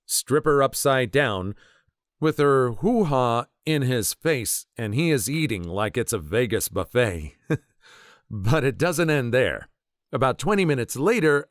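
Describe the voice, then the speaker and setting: A man reading internet stories aloud as a narrator, telling them in a lively, expressive way. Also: medium pitch, dry recording, clean studio sound. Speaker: story narrator.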